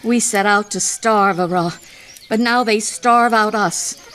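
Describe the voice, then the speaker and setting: A woman's voice: mid-range voice, slow pace, fairly bright tone, slight background noise. A woman playing a steadfast, weary troop captain, firm and stern, in a radio theatre drama. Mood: grave, weary.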